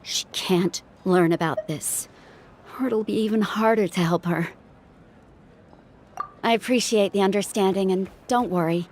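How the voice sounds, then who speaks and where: A woman's voice: mid-range voice, a little slow, pauses often, darkish tone, a little hiss or hum, close to an everyday voice. Candid young woman doctor, played by an actress. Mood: firm, reassuring. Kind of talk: video game voice acting.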